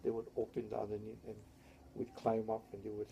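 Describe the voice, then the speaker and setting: An older man, his voice gravelly and mellow as he recalls his childhood at a loose, wandering pace. He keeps checking in with "yeah?", and faint noise hums under the recording. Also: fairly low pitch, completely flat delivery, a little fast, poor audio. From Hawaii. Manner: rambling, tags statements with "yeah?". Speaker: interviewee. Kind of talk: oral history interview.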